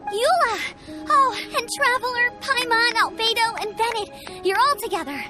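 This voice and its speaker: An energetic, caring teenage girl in a video game. She has an extremely high, eager, exclamatory voice, with music clearly playing behind it. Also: normal speed. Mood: eager, relieved. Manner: bright-spirited, exclamatory.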